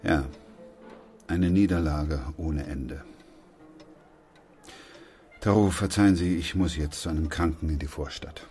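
A man playing a sober, weary, steadfast doctor in a German radio play, speaking in a grave, measured, reflective way. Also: extremely low pitch, a little mellow, soft background music. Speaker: actor playing the narrator and lead.

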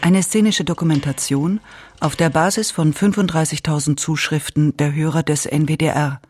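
A man announces programme titles from a script. His voice is fairly high for a man and husky, with music faintly behind it. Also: steady tone, normal speed, a little mellow.

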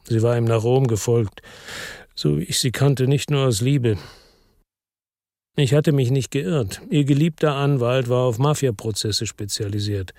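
A man's voice: medium pitch, unhurried, a little mellow, dry recording, clean studio sound, lightly acted. An actor playing a middle-aged, weary and bitter ex-policeman, narrating tersely in a radio drama. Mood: sombre. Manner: terse, sombre, matter-of-fact. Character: weary, bitter male ex-policeman narrating his own crime story.

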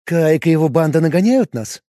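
A man's voice: on the high side, animated, fairly quick, dark tone, no room sound, clean studio sound, pitched above the performer's own voice, natural voice. Leisav, a man voicing a sarcastic young woman. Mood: sarcastic bold. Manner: raised, pert, mocking tone. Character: sharp-tongued young woman, bold, sarcastic. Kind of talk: audiobook character voice.

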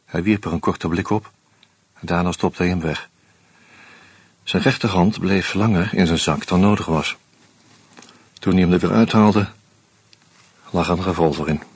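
An actor narrating a radio play in the first person as a terse, measured male detective. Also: deep voice, breathy edge, no room sound.

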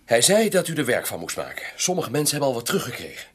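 A male actor playing a loyal, deferential doctor in a radio drama, with a husky voice and an earnest manner. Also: medium pitch, huge pitch swings, fast. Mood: apologetic, earnest.

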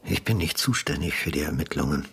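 A man playing a grave, experienced police detective in a radio drama, speaking in a measured, careful, sombre way. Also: extremely low pitch, lively intonation, fairly bright tone, breathy edge, heavily acted. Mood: grave, quiet. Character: grave, experienced police detective who delivers death notices. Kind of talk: literary radio drama narration and dialogue.

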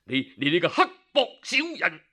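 A man voicing an enraged, humiliated young male scholar who stammers and shouts in fury. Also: a little high, wildly swooping pitch, normal speed, breathy edge, heavily acted. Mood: furious.

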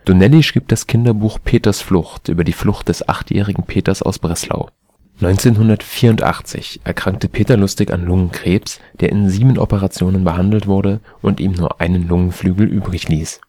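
A man with a standard German accent, reading an encyclopedia article aloud in a steady, careful way. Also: deep voice, moderate pace, no echo. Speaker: volunteer reader of a spoken encyclopedia article. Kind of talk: scripted read-aloud narration.

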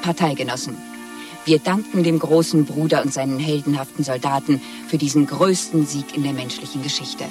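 A man's voice: a little high, steady tone, fairly quick, lightly acted. A man playing a jubilant propaganda announcer of a totalitarian state in a 1970s radio play, declaiming in an official, triumphant way. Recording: distant announcement with music behind.

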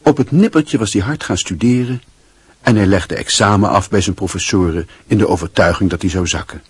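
An actor playing a dry, wry literary narrator in a radio play, with a husky voice. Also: male voice, low-pitched, fairly quick, no room sound. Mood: wry, reflective.

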